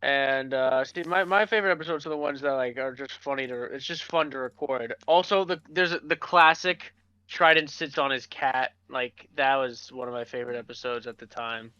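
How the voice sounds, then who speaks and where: Bright-voiced man in a slightly roomy recording. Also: medium pitch, normal speed, smooth, lo-fi sound. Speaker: podcast co-host. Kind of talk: casual chat.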